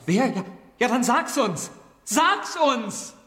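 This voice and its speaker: An actor in a German radio play playing an excitable supplicant, pleading and exasperated before a great computer. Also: male voice, very high-pitched, slow pace, full character voice. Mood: eager. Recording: reverberant echoey hall.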